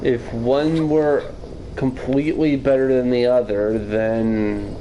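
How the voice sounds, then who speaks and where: A man's voice: mid-range voice, steady tone, slow pace, warm tone, rough recording. A man streaming casually, answering viewers with wordy, formal phrasing. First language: English. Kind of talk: casual livestream chat answering viewer questions. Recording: noticeable background noise.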